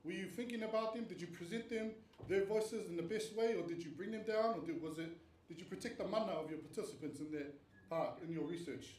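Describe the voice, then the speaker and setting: Guy from tonga explaining research steps to a panel crowd, fairly high voice, echoey room, mic a bit far off. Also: quick pace, hardly any pauses, a little mellow. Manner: earnest, explanatory, asks rhetorical questions. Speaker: lecturer on a panel. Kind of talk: academic panel presentation. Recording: roomy, distant mic, faint noise.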